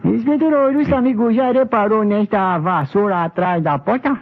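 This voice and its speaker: An actor playing a humble, flattering detective's sidekick, eager and ornately polite, in old radio mystery style. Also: male voice, high-pitched, moderate pace. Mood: humbly explaining. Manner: deferential, ornately polite, repeats phrases. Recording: tinny, thin old radio recording.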